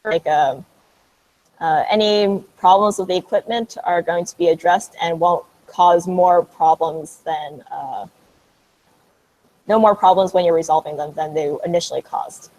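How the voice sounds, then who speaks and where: A man's voice: a little high, unhurried, a little mellow, rough recording. A man in his late twenties on a panel, casually explaining his engineering job with lots of fillers. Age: 20s. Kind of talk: panel answers to students.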